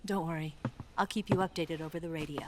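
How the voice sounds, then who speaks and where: Woman doing a flirty cool spy lady for a game cutscene, lowish voice, noisy background. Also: steady tone, fast talker, natural voice. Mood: concerned, guarded. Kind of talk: cinematic video game acting.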